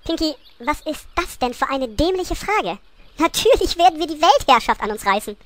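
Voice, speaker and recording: A woman's voice: high-pitched, sing-song, moderate pace. A woman voicing an exasperated, imperious gnome queen with a snappy, sarcastic manner in an amateur parody audio play. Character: bossy, exasperated female pink gnome queen.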